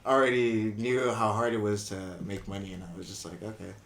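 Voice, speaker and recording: A man in his twenties from Indonesia, a guest on a podcast, telling his story in a relaxed, rambling way with lots of "you know what I mean.". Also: lowish voice, brisk. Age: 20s. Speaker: guest, brand co-founder. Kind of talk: casual podcast interview. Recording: echoey room.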